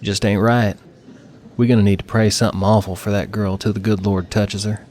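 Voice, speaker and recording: A man's voice: lowish voice, little pitch movement, brisk, darkish tone, a little hiss or hum, natural voice. A man voicing a folksy, pious older country woman in an audiobook story. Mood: shaken, worried. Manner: folksy, rustic speech.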